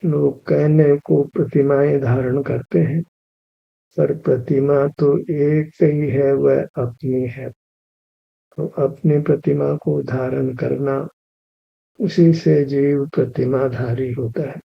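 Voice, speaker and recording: A man leading a guided meditation, giving slow, even spiritual instruction and repeating key phrases. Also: mid-range voice, creaky, slightly rough. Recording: dull lo-fi recording.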